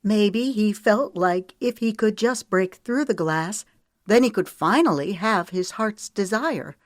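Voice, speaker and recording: A stubborn, emotional woman in an audiobook drama, voiced by a female narrator. She is earnest and defensive, answering back in a voice pitched a little above the storytelling tone, in a dry recording. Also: expressive, moderate pace, dry recording, close to an everyday voice. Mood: defiant, pleading. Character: stubborn, emotional woman pleading for her freedom.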